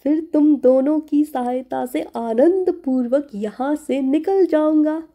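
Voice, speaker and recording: A woman voicing a talkative male turtle, anxious, insistent and boastful, in an animated children's fable. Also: sits high, slow pace, phone-quality sound, voice pushed up. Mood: eager scheming. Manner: chatty, insistent, repeats words.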